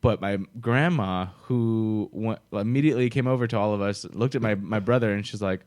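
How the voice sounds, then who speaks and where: Chatty young man with low voice. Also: fairly quick, darkish tone. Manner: chatty storyteller, laughs often. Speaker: podcast co-host. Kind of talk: casual chat.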